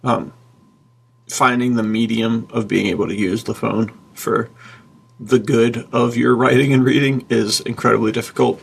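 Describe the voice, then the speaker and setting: A man with a very creaky, husky voice co-hosts a podcast in a loose, chatty style. He is enthusiastic and slangy, talking as if to a good buddy. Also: medium pitch. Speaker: podcast co-host and writer. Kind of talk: casual podcast chat.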